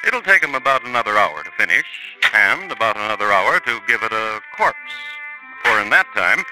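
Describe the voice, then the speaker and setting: A man hosting a vintage crime radio show, narrating with wry theatrical flair. His voice sits low and moves expressively. Music plays under the old broadcast. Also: big pitch swings, moderate pace, poor audio. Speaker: host narrator. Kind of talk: dramatic 1950s radio narration.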